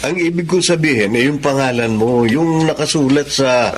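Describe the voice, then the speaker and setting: A man playing a genial, grateful well-off man in a Filipino radio drama, brisk and conversational. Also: medium pitch, slight background noise, close to an everyday voice. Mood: friendly, insistent.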